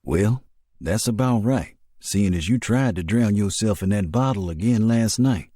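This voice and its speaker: Blunt, folksy navy flier for a drama, male actor: low-pitched, raspy, loyal to his friend. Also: unhurried, mellow, no room sound, clean studio sound. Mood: stern, confronting. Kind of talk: dramatic animatic film dialogue.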